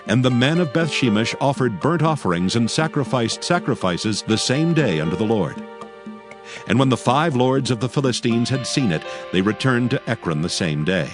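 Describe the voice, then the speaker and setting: A man narrates a dramatized audio Bible in a low voice with lively rises and falls. He is solemn and measured, with faint music behind him. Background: faint background music.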